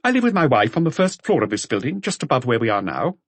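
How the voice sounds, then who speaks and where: Man putting on a high-pitched, curt schoolmaster. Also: big pitch swings, fast, no echo. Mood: politely dismissive.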